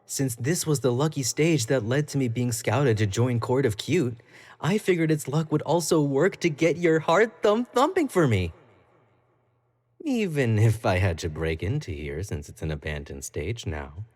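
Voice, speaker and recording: A man's voice: medium pitch, lively intonation, moderate pace, a little mellow, close to an everyday voice. An actor playing an obsessive, clingy young male pop idol in an audio roleplay, talking to the listener in a coy, playful, doting way. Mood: playful, smug. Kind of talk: scripted roleplay monologue to the listener.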